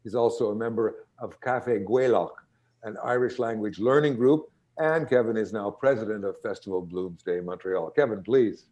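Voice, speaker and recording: A man's voice: fairly low pitch, moderate pace, darkish tone. A man hosting an event, reading a prepared introduction of a speaker.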